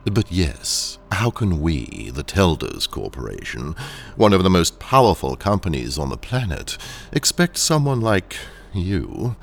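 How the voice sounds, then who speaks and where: A very deep-voiced, gravelly corporate villain in an audio drama. He talks slowly and with polite condescension, his calm menace carried on widely swooping intonation. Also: heavily acted. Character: sinister, ruthless male corporate trainer, calmly condescending. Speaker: training engineer in an audio drama.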